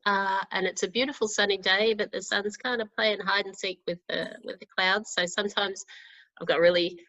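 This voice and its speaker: A woman, an author introducing herself casually in a halting, reflective way. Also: medium pitch, fairly even intonation, pushed and bright, dry recording. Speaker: romance author, panel guest. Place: at home on a video call. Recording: dull, dry call audio.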